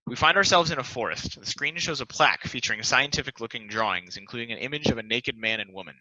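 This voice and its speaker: A man's voice: medium pitch, quick pace, bright, forceful tone, no room sound, low-quality recording. A man reading stage directions as the narrator of a play table read, in scripted narration.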